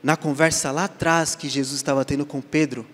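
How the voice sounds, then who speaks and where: A male pastor with a Brazilian Portuguese accent preaching a sermon, dropping to hushed lines and then rising to loud emphasis as he acts out the dialogue. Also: on the high side, fairly even intonation, brisk. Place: church sanctuary. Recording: church hall reverb.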